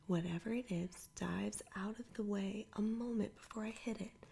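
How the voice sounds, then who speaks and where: A woman reading a children's adventure story aloud entirely in a soft whisper, in ASMR style. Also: medium pitch, moderate pace, gravelly, a little hiss or hum. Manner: whispers throughout. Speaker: storybook reader.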